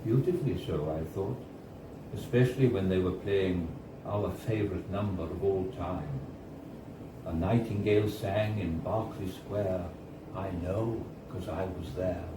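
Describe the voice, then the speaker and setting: A man reads a first-person story aloud at a live event in a bar, in a measured storytelling manner with deliberate pauses. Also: sits low. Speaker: reader of a short story. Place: indoors at a bar, live reading. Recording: roomy, distant, noisy live bar recording. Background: clear background noise and room ambience.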